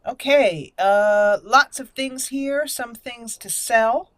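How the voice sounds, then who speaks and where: A woman's voice: fairly high pitch, slow, dry recording. A woman hosting a gaming channel, giving let's play commentary with roleplay as she plays.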